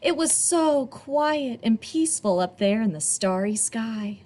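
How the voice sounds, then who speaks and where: A woman reading a picture book aloud to children expressively, in a teacherly way, pausing for young listeners. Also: fairly high pitch, animated, darkish tone.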